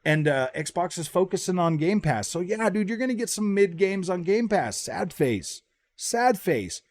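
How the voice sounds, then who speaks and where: A man with a slightly high voice talks like a gaming YouTuber sharing his opinions. His delivery is casual and slangy, circling back to repeat and restart phrases. The sound is very dry, with no room echo. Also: big pitch swings, measured pace.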